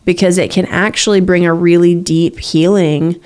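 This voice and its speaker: Young woman cohosting a relationship podcast, earnest and encouraging, kinda low voice. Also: fairly even intonation, moderate pace, a little mellow. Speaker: podcast co-host. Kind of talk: casual podcast chat about relationships.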